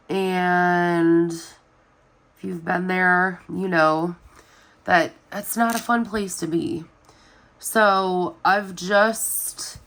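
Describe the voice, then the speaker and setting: A young woman with a Southern US accent chats casually while stuffed up with a cold, her voice nasal and sniffly. She speaks slowly, rambling with little energy, in a somewhat low, bright tone. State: sick with a stuffy nose, sniffling. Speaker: planner vlogger. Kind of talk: casual chat.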